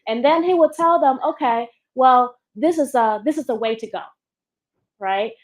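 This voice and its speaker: High-voiced chatty woman seminar host. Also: normal speed. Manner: chatty, jokey, asks the room questions. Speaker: real estate seminar host. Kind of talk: casual seminar talk. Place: seminar room.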